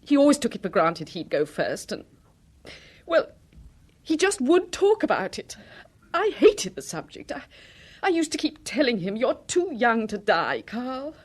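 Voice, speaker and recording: A woman's voice: fairly high pitch, very animated, unhurried, husky, full character voice. An actress in a radio drama playing a distressed, genteel married woman with a hesitant, polite manner. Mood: troubled, defensive.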